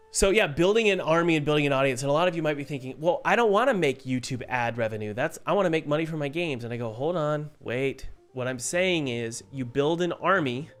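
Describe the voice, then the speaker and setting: A young man hosting a casual live stream as an indie game developer, a bit hoarse from a cold, talking loosely with lots of fillers and restarts. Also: a little high, a bit bright. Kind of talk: casual live-stream chat answering viewers. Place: home studio. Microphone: studio mic.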